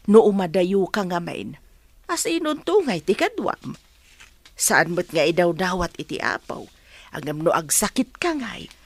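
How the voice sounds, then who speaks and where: Worried village mother voiced by an actress, expressive swoops. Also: mid-range voice. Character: gentle, worrying village mother, protective of her child. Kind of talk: radio drama acting.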